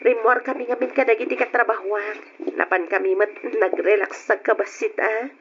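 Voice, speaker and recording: Woman acting a high-voiced, coy Ilocano wife. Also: fairly even intonation, moderate pace, close to an everyday voice. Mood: evasive, flustered. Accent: Filipino, Ilocano. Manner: coy, hesitant, stammering excuses. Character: evasive married young woman with a secret lover. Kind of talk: old-time Ilocano radio drama acting. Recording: dull, noisy old radio recording.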